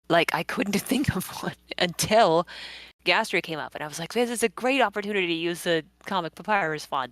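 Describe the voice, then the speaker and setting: A woman with a low voice talks casually in a close, dry recording. She is a comic creator giving rambling, giggly commentary with a self-deprecating streak. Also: fairly bright tone, no echo, rough recording. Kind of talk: casual live commentary chat.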